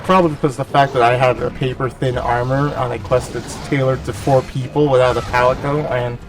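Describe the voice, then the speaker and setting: A man with a cold and a nasal, slightly gravelly voice, giving chatty, self-deprecating voice-over commentary over gameplay. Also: medium pitch, steady tone, brisk, distant mic. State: has a cold. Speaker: gaming channel host.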